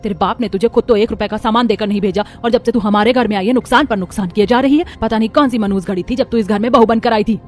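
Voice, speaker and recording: A woman voicing a quarrelsome middle-aged mother-in-law in an animated family drama, scolding and contemptuous. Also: fairly high pitch, fast talker, few pauses, soft background music, natural voice. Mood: furious, scornful.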